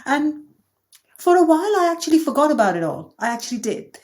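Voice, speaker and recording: A woman's voice: high voice, sing-song, brisk, darkish tone. A woman from India, speaking as a podcast guest in a thoughtful, candid conversational manner. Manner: thoughtful, candid, light laughs. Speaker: podcast guest, agency co-founder. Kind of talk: reflective conversational interview.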